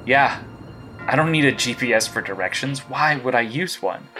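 Brief: male actor as a persistent licensed hero detective in an audio drama, crisp bright edge. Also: medium pitch, animated, moderate pace, faint music behind. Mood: probing. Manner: probing, persistent questioning.

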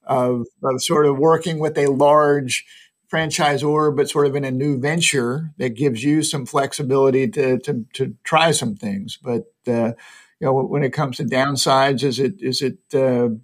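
Guy hosting a podcast, normal pitch, lots of uhs, trips over his words a bit. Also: steady tone. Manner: halting, many uhs and repeats. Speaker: podcast host. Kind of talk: casual interview questions.

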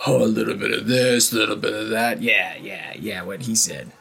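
A rough, bullying cultist thug, put on by a man in a tabletop game, with slangy grammar and a gravelly, husky edge. He pushes his words a bit higher and louder than plain talk. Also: normal speed, full character voice. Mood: pushy, brusque. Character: gruff male cultist thug, pushy.

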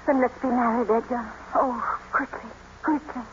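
A woman's voice: on the high side, steady tone, normal speed, lightly acted. An actress playing an earnest, haunted young lady of a manor in theatrical, impassioned old radio drama style. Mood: impassioned pleading. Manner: theatrical, intense. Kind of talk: 1940s-50s radio drama acting. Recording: noisy, dull old radio broadcast.

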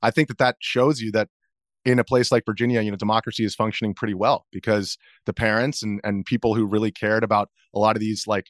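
A man's voice: fairly low pitch, level tone, quick pace, no room sound, studio quality. A man in his thirties speaking as an interview guest, answering casually in a hesitant, rambling way. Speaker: interview guest, video journalist.

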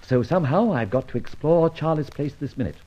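An actor playing a cool, wry gentleman adventurer in an old radio serial, speaking in a clipped, brisk and self-assured way. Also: a little high, animated, highs cut off. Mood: brisk, confiding. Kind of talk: 1940s-50s radio adventure serial acting, first-person narration and dialogue.

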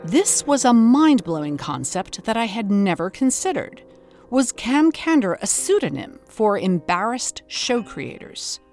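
A woman's voice: on the high side, sing-song, a little slow, darkish tone, faint music behind. A woman hosting an investigative podcast, narrating and interviewing in an earnest, dramatically pondering way. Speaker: host and interviewer.

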